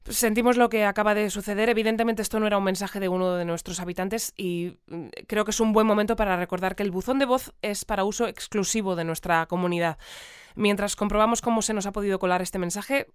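Actress as clear-voiced Spanish radio presenter, flustered. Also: female voice, medium pitch, fairly even intonation, quick pace, no echo, studio quality, close to an everyday voice. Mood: flustered, composed. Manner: formal, hesitant, apologetic. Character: polite, flustered female community radio presenter. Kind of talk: radio presenting in audio fiction.

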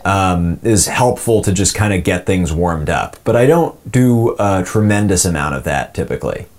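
Male, 20s, low voice. Conversational interviewee, loose and self-effacing delivery. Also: lively intonation, normal speed, darkish tone. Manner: rambling, self-deprecating. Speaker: voice actor being interviewed.

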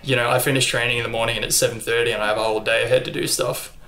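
A young man with an Australian accent chats easily as an interview guest, filling his answers with ums and likes. His voice sits at an ordinary pitch for a man. The room around him rings with noticeable echo. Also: racing, creaky. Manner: relaxed, chatty, frequent ums and likes. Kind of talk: casual interview chat. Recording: echoey room.

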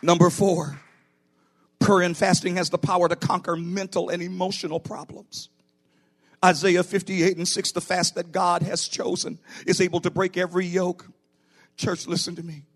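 A man's voice: sits high, level tone, moderate pace. A man preaching a sermon as a pastor, emphatic and declarative, appealing directly to his listeners. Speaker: pastor, bishop preaching to own church.

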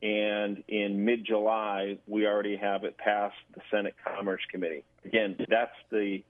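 A man giving halting, measured explanations as a guest in a radio interview. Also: lowish voice, flat delivery, no echo. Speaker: interview guest, government affairs director. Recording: thin phone-like line.

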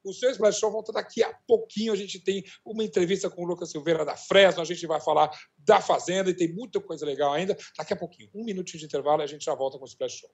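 A man's voice: high-pitched, fairly even intonation, fast, creaky, few pauses, a little hiss or hum. A man in his sixties hosting a live entertainment talk show, chatty and effusive. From Brazil. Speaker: host.

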